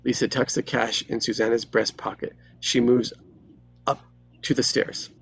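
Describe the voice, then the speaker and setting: A man reading screenplay stage directions as dramatic narration, in terse, deliberate phrases. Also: medium pitch, level tone, fairly bright tone, a little hiss or hum.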